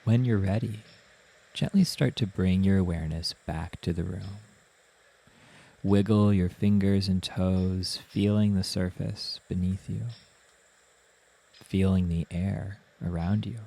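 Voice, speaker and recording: Slow, deep-voiced man guiding meditation. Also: steady tone, creaky, husky. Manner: soothing, gentle, unhurried instruction with long pauses. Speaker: meditation guide. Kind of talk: guided meditation narration.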